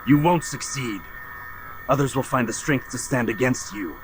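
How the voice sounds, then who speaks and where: An actor playing a defiant, principled assassin in a video game, a betrayed student who speaks tersely and earnestly. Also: male voice, medium pitch, moderate pace, natural voice. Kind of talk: dramatic video game cutscene acting. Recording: noisy game cutscene audio.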